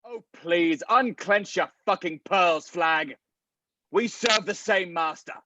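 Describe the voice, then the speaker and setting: A man's voice: sits high, slow, bright, forceful tone, no echo, lo-fi sound, exaggerated voice. A man playing a cynical, condescending scientist with a foul mouth in a script table read. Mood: scornful. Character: cynical, derisive scientist called the Thinker, contemptuous and callous.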